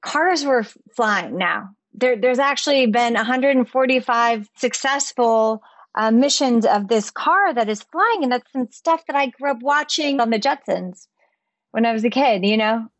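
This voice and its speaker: A woman's voice: fairly high pitch, expressive, normal speed, no echo. A woman in casual conversation, musing aloud and repeating words as she gathers her thoughts.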